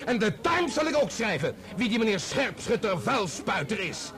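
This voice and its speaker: An actor playing an angry, indignant son in a Dutch radio play, accusing and threatening. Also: male voice, sits high, very animated, normal speed, a bit bright, heavily acted. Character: angry, indignant son defending his dead father.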